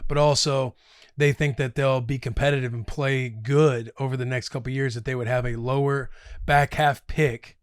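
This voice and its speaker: Male co-host, mid-pitched, dry close mic, animated, swears freely, bursts of energy. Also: smooth, no room sound. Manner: animated storyteller, swears casually, talks in quick bursts. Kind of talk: casual sports chat.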